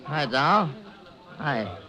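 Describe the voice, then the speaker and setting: Smug, swooping gossip columnist. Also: male voice, a little high, animated, extremely slow, bright, forceful tone, heavily acted. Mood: needling. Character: needling, insinuating male gossip columnist, smug and oily. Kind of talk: old-time radio drama acting. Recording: old radio recording, crowd behind.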